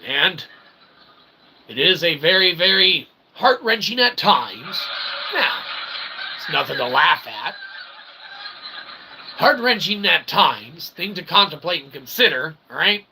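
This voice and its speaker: A man's voice: fairly high pitch, big pitch swings, slow pace, pauses often, sharp tone, slight background noise, poor audio. A man delivering a tongue-in-cheek, rambling philosophical monologue as a channel host, in wordy, convoluted phrasing with frequent check-ins.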